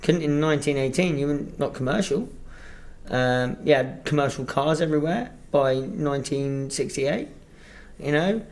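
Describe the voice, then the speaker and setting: This is a man co-hosting a chat show, with an English accent that slides toward Australian. He sits a touch high in pitch, and he rants in an animated, sweary way. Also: moderate pace. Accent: English, drifting Australian. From England. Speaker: podcast co-host. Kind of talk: casual chat. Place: Sydney.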